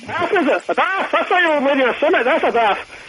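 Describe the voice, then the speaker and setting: A man's voice: very high voice, animated, fairly bright tone, slight background noise, poor audio. A man playing a touchy Scottish building super in a comedy radio sketch, speaking in a thick, garbled brogue. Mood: gruff. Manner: indignant, rapid, hard to follow.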